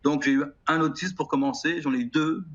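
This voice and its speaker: French guy in his 40s or 50s, french accent, halting and earnest, husky bright voice, bit muffled sound. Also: on the high side, steady tone. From France. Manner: earnest, halting, many repeated words. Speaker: guest, music educator. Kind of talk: TV interview answers. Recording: slightly dull broadcast sound.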